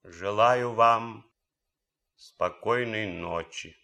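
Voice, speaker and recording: An actor playing an idealistic German officer and composer in a radio drama, speaking earnestly, deliberately and theatrically. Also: male voice, on the low side, creaky, pushed and bright, exaggerated voice. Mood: fervent, apologetic.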